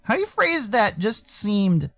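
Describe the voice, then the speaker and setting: American man, lively intonation, theatrical storytelling, visual novel narration, music underneath. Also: high-pitched, slow, vocal fry. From Arizona, United States. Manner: theatrical, expressive. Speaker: streamer, voice performer.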